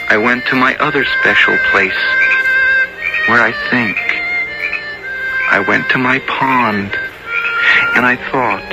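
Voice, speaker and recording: A man playing an earnest, sensitive sixteen-year-old American boy who loves nature, speaking haltingly and plainly in a radio drama. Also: medium pitch, low-quality recording. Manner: halting, repeats words, plainspoken and boyish. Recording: old radio recording, music behind.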